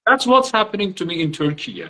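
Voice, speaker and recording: A man whose first language is Azeri, explaining his teaching ideas as an interview guest in a reflective way with many pauses. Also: high voice, animated, sharp tone. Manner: reflective, explanatory, frequent hesitations. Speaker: guest, academic trainer. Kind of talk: online interview conversation. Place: Turkey.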